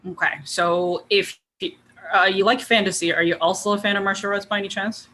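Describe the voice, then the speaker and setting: A woman's voice: medium pitch, steady tone, fairly quick, pushed and bright. A woman originally from Taiwan, an author guest on a podcast, speaking thoughtfully and hedging with frequent ums. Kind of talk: casual conversational interview.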